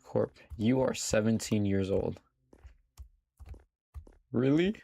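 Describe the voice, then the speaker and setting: A man's voice: fairly low pitch, animated, takes it slowly, gravelly, warm tone. A young adult man chatting casually to his chat while playing a game live, swearing now and then. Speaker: streamer. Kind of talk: casual live gaming commentary.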